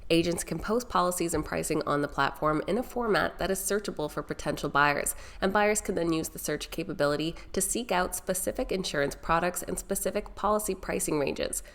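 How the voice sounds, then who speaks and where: A woman's voice: lowish voice, brisk, few pauses, a bit bright. Nasal, congested woman presenting stocks. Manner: upbeat, direct address to viewers. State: congested from a sinus infection. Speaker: stock market video host. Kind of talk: scripted market news presenting.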